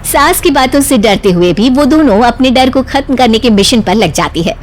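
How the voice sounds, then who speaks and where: A woman's voice: fairly high pitch, expressive, normal speed, slight background noise. A woman narrator reading a scripted comic cartoon story as storytelling.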